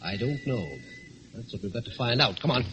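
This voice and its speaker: An actor playing a suave, quick-witted gentleman thief turned sleuth in a radio drama, polite, composed and persuasive. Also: medium pitch, lively intonation. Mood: thoughtful. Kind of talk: old radio drama acting. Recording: dull lo-fi radio recording, faint hiss.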